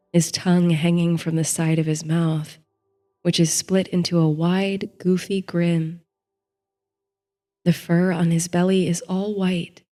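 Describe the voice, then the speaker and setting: A woman narrates a sleep story like a guided meditation, in a soft, soothing, unhurried voice that turns breathy at the ends of phrases. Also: on the low side. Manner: slow, soothing, unhurried delivery. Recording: soft music under the voice. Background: soft music.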